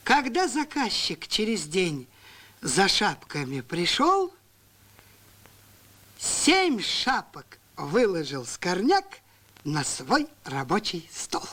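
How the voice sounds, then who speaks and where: A man's voice: high voice, slow, heavily acted. A man narrating a rhyming cartoon tale theatrically, acting out bits of dialogue. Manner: theatrical, expressive. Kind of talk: storytelling narration in rhyme with acted dialogue.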